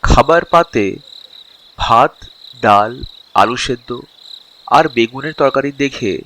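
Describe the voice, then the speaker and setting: A man narrates a scripted tale at a slow, measured pace. Faint cricket noise sits behind him. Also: medium pitch, sharp tone, rough recording.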